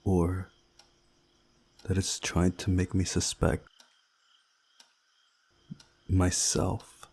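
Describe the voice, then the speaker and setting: A man reading a visual novel aloud as a playthrough host, in a measured, deliberate way with pauses. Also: low voice, completely flat delivery, creaky, darkish tone, husky. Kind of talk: scripted narration of a visual novel with commentary.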